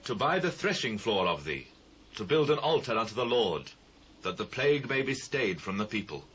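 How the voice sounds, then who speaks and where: Low-voiced, solemn biblical king. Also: very animated, moderate pace, fairly bright tone, breathy edge, lo-fi sound, exaggerated voice. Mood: resolute. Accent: British. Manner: grave, declamatory. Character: solemn, devout king of ancient Israel. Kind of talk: dramatised scripture reading. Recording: noisy old cassette transfer.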